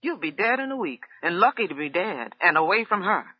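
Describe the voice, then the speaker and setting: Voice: sing-song, brisk, no room sound, highs cut off. A reader voicing a furious middle-aged woman cook who screams accusations and then breaks down crying, in an audiobook. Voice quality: shrill, screaming. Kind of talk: audiobook character voice.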